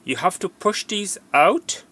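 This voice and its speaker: A man's voice: high voice, expressive, a little slow, sharp tone. A man giving an unscripted hands-on tutorial as a repair technician, instructive and cautioning, often repeating himself for emphasis.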